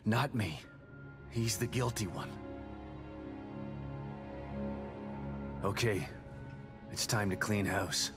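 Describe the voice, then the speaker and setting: Casting: grim, guilt-worn game hero, very low voice, terse and brooding, over a musical score. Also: male voice, a little slow. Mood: angry resolve. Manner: brooding, terse, often dropping to a breathy murmur. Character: grim, guilt-ridden middle-aged male survivor hunting his enemy. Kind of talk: cinematic video game acting. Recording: music under the dialogue.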